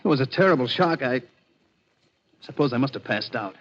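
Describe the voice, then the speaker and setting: Man acting a frightened, high-strung young chemist. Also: expressive, brisk, fairly bright tone, a little hiss or hum, close to an everyday voice. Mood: haunted, fearful. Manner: agitated, pleading, haunted. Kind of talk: 1940s radio drama acting. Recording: muffled 1940s radio recording.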